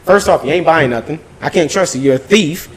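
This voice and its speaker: A man telling a story in an animated, casual way, swearing freely in slangy speech and acting out both sides of arguments. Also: fairly high pitch, brisk, a little hiss or hum. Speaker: podcast host recapping his weekend.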